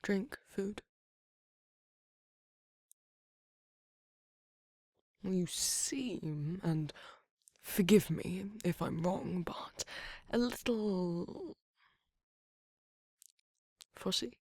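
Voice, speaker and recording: A husky, gravelly vampire lover acted by a woman murmurs tenderly in an ASMR romance roleplay. The voice sits a little low and is very close and dry, often sinking to a whisper. The delivery is doting, flirty and coaxing. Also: darkish tone, no echo, heavily acted. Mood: concerned, coaxing. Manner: tender, unhurried, coaxing endearments.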